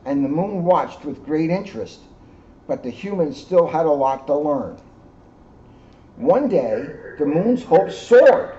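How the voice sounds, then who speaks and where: A man's voice: a little high, lively intonation, takes it slowly, warm tone. A man, a police officer, reading a children's storybook aloud with casual asides. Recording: dull lo-fi sound, faint background noise.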